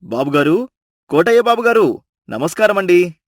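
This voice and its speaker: Man as animated, pleading poor woodcutter. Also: high-pitched, normal speed, no echo, clean studio sound. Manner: pleading, grumbling. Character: meek, weary, poor woodcutter husband.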